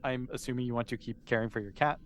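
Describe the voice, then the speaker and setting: A man's voice: medium pitch, fairly even intonation, quick pace, faint music behind. A man running a tabletop game as game master, explaining things in a patient, conversational, improvised way. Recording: clearly noisy background.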